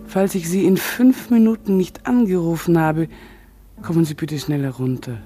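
An actress playing an uneasy hospital nurse on night duty. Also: female voice, on the low side, moderate pace, soft background music, natural voice. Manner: uneasy, hushed.

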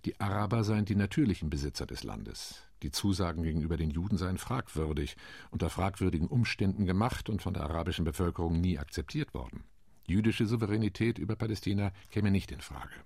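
Male actor as a middle-aged UN delegate for a radio drama: deep, raspy, steady reporting tone. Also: dry recording, clean studio sound, heavily acted. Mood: measured. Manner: measured, reporting. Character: sober male diplomat recounting committee talks. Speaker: UN commission delegate. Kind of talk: radio play monologue.

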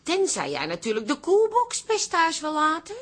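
Bright, lively café woman, brisk and bossy. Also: sits high, exaggerated voice. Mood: teasing. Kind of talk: Dutch radio comedy drama acting.

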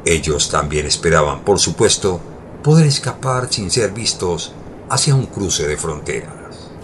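Deep-voiced man narrating an audio drama. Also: big pitch swings, measured pace. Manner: formal, literary storytelling. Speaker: story narrator. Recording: clear background ambience noise.

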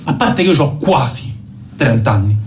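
A man's voice: medium pitch, very animated, moderate pace, a little hiss or hum, lightly acted. An actor playing a whining, work-shy son in his late twenties with a Roman accent, protesting theatrically in a comedy film. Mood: indignant, disbelieving. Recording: film dialogue under loud music.

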